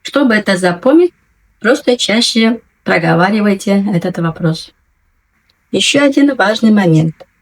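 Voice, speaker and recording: A woman teaching a language lesson, patiently and clearly enunciating, repeating each new word twice. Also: mid-range voice, lively intonation, normal speed. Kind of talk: slow, careful language lesson.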